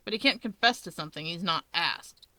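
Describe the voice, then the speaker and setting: A woman reading a story aloud in an expressive narrating style. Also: lowish voice, a little fast, no room sound. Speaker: fan fiction reader.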